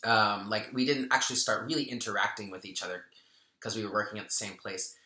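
A chatty man in his thirties talks straight to the camera from a small room at home, with a very bright, forward tone. He laughs often, trails off and restarts his sentences. The room sounds echoey around him. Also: lowish voice, fast talker. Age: 30s. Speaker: spiritual channel host. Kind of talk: casual vlog talk to camera.

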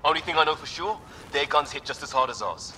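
Man as a wry, lively young space soldier. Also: on the high side, quick pace, background noise, natural voice. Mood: uneasy. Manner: wry, colloquial. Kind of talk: video game voice acting.

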